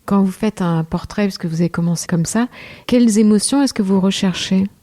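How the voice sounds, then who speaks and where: A woman hosting a radio portrait program, reading a scripted introduction and asking interview questions in a measured, composed way. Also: medium pitch, dark tone. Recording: radio show with faint music.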